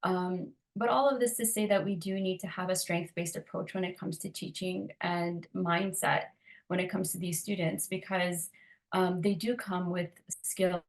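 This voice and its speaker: A woman giving an academic presentation on educating refugee students, speaking in a measured, explanatory way. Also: lowish voice, steady tone, gravelly.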